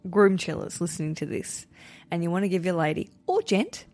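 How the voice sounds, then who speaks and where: A woman's voice: on the low side, brisk, faint music behind. Chatty Australian woman podcast host. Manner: chatty, jokey and lively. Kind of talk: casual chat.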